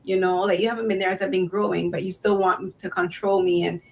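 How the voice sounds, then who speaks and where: A woman's voice: medium pitch, level tone, fast talker, darkish tone. A woman hosting a podcast interview, chatty and enthusiastic with lots of fillers. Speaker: podcast host. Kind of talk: casual interview.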